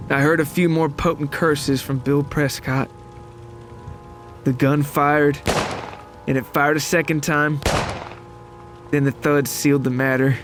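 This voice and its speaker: A man narrating a scripted first-person horror story in a dramatic, solemn, suspenseful manner. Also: on the high side.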